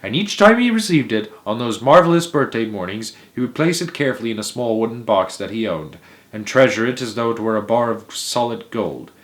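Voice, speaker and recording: A man narrating a children's storybook aloud in a theatrical, emphatic way, with dramatic pauses and stretched words. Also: medium pitch, animated. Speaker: host of a read-aloud story series.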